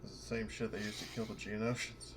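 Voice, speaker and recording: A man's voice: fairly low pitch, level tone, fast talker. A man chatting casually and jokingly as a player in a tabletop game.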